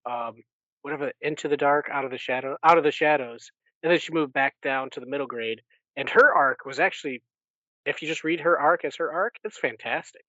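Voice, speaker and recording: A man's voice: a little high, a little fast, bright, forceful tone, no echo. Casual rambling man, dry slightly dull sound. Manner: rambling, self-correcting. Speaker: podcast co-host. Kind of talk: casual book recap chat.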